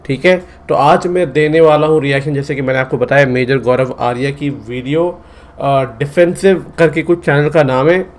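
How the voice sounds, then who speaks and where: Man, Delhi Hindi accent, casual reaction host, earnest, mid-pitched. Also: steady tone, normal speed. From India.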